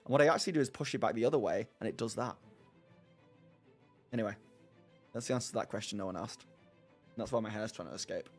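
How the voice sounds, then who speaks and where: A man's voice: lowish voice, fast talker, long pauses, faint music behind. A man casually chatting on his live stream and answering viewers, rambling and self-deprecating, laughing often. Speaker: streamer hosting a live chat.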